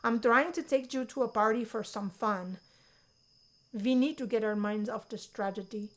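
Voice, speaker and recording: A woman's voice: a little high, moderate pace, lightly acted. A woman voicing a confident, teasing young college woman with a sly, theatrical manner in a visual novel. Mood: mock stern.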